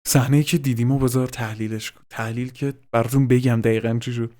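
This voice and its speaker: A man's voice: medium pitch, steady tone, warm tone. A man gives casual reaction commentary as a host, swearing informally and dropping in English slang.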